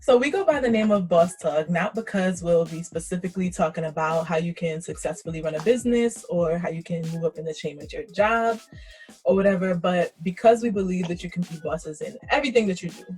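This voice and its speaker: An upbeat, playful woman in her twenties from Queens hosts a casual chat among friends. Her voice sits a little low for a woman. A faint beat plays softly behind her. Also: fairly quick, slightly rough, faint music behind. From Queens, New York. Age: 20s. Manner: upbeat, playful, leads the talk. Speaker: podcast host. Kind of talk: casual group podcast chat.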